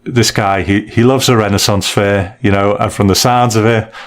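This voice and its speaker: A man's voice: lowish voice, steady tone, normal speed. A British man in a casual podcast chat, giving candid, conversational reviews of stories as a co-host. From United Kingdom.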